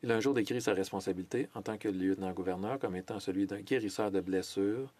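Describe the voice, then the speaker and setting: A man giving a simultaneous interpretation of a formal parliamentary speech, measured, with hesitations and self-corrections. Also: low voice, a little mellow. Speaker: French interpreter of a Senate speech.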